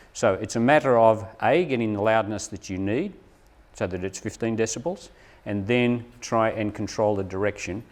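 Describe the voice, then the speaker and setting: A man presents a live training session for teachers. His voice sits a little low. He explains step by step in a conversational way, often stopping on a short questioning tag to check that listeners follow. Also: a little mellow. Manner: explanatory, checks in with frequent "right?". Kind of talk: live workshop talk to teachers.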